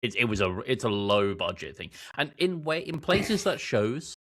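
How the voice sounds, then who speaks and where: A man's voice: mid-range voice, very animated, normal speed. A man chatting casually as a podcast panellist, informal and emphatic in how he gives his views. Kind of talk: casual chat.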